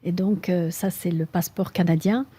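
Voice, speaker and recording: A middle-aged woman from Switzerland with a Swiss French accent, answering interview questions thoughtfully, with frequent pauses and fillers. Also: on the low side, steady tone, warm tone, breathy edge. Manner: thoughtful, hesitant with many fillers. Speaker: guest, mountaineer.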